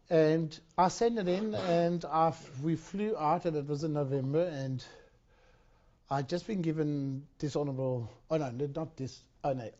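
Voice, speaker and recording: A man with a slightly high voice gives a personal talk at a conference, often stopping, restarting and repeating words. The recording is dull and lo-fi. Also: warm tone. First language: not Dutch. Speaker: conference speaker. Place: conference hall.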